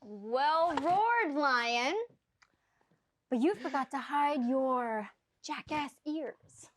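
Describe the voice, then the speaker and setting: A woman's voice: sits high, expressive, extremely slow, full character voice. A woman playing a sardonic, defiant member of a traveling acting troupe in a mocking, theatrical manner. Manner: mocking, dramatic. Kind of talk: theatrical stage play acting.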